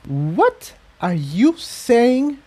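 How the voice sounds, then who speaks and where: Dude doing a snippy unicorn girl, high swoopy voice, waspish and defensive, storybook character. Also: sing-song, drawn-out words, exaggerated voice. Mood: waspish, angry. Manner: waspish, forceful. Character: sharp-tempered female unicorn, defensive of her people.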